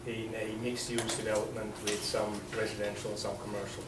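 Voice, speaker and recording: A man with a Swiss accent addresses a planning workshop, pausing often on ums and restarting his sentences. He sits well back from the microphone in a reverberant council chamber. Steady background noise runs under the echoey recording. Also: fairly low pitch. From Switzerland. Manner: hesitant, many ums and restarts. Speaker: developer. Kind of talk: presentation at a public meeting. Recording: echoey meeting room from far off, noisy.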